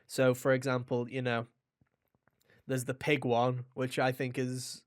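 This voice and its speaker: A man's voice: mid-range voice, monotone, unhurried, no room sound, studio quality. A man co-hosting a video game podcast, chatting in an informal, rambling and jokey way. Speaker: podcast co-host reviewing video games. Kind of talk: casual chat.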